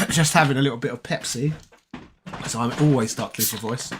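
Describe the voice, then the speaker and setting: A British man with a tired, raspy voice, chatting casually on a live stream and reading viewer comments aloud. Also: mid-range voice, moderate pace, noisy background. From Britain. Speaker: retro gaming streamer.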